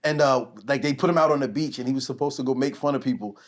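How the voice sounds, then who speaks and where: Rambling, animated male podcast host. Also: mid-range voice, fast. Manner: rambling, animated storyteller who searches for names aloud. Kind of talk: casual reminiscing chat.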